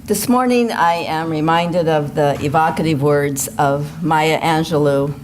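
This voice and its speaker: A woman speaking as a worship associate, giving a measured, formal welcome and announcements to a congregation in a noisy live room recording. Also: low-pitched. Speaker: worship associate at a church service.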